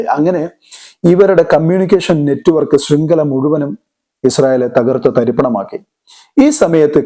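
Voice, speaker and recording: A man explains current affairs as a commentator, deliberately, repeating words for emphasis. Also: on the high side, dark tone. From Kerala, India.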